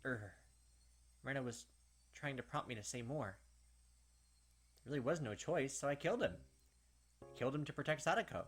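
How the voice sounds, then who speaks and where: A man's voice: mid-range voice, expressive, moderate pace, pauses often, husky. A man reading a story aloud expressively as a gaming host, breaking into casual, sweary commentary. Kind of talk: dramatic read-aloud narration with casual commentary.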